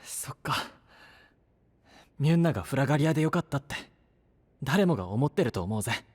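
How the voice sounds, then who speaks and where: An actor playing a brusque but caring young knight with a husky voice and blunt, casual speech in an anime audio drama. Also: male voice, mid-range voice, animated, fairly quick, a little mellow, big-room sound. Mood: caring, gruff.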